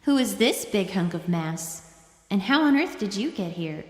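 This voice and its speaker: A woman's voice: mid-range voice, sing-song, moderate pace, lightly acted. Imperious female judge, acted by a woman, theatrical, cutting, slight hall reverb. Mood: sassy, haughty. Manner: haughty, theatrical. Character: imperious sassy female TV talent show judge.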